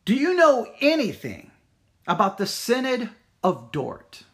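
A church teacher speaks informally and directly to listeners. The pitch moves widely and expressively. The delivery is emphatic and challenging, built on rhetorical questions and repeated phrases. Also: wildly swooping pitch, measured pace, fairly bright tone. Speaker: Bible teacher at a Baptist church.

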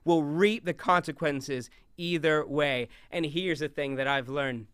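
A young man with a big, loud voice preaches from a stage, animated and always in motion. His tone is bright and crisp, slightly higher than most men's. The sound is dry and close. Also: a little slow, dry recording. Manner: animated, talks with hands, moves around on stage. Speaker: youth speaker. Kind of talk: lively stage talk on scripture.

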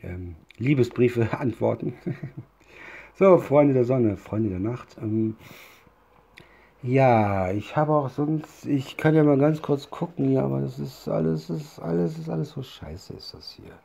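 A man's voice: medium pitch, unhurried, vocal fry, slightly rough. A man who has a cold, joking crudely and rambling between songs during a live stream. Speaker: singer performing live. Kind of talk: casual banter between songs on a livestream. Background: loud piano and guitar.

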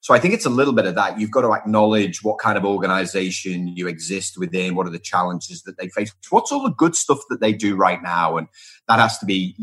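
A man's voice: low-pitched, lively intonation, a little fast, fairly bright tone, breathy edge. A middle-aged man from Liverpool, England, answering conversationally as an interview guest, with emphatic repeated phrases and lists. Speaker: podcast guest, speaker and author on workplace culture.

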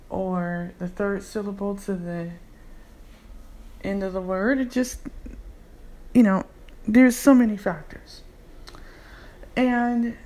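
Woman tutor, slightly high voice, slow and casual explainer. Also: slight background noise, rough recording. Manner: unhurried, explanatory, with fillers. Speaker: language tutor. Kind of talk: casual instructional talk.

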